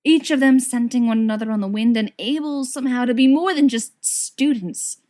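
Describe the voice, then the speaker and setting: A woman reading a story aloud as an expressive scripted narration. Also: on the high side, moderate pace. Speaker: audiobook reader of fan fiction.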